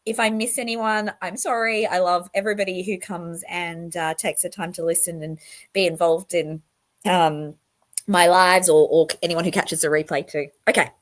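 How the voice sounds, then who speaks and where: Aussie woman, kinda croaky from being sick, lowish voice, chatty livestream host saying hi to everyone. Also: steady tone, moderate pace. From Australia. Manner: chatty, greets viewers by name, rambling asides. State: croaky voice from illness. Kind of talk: casual livestream talk to chat.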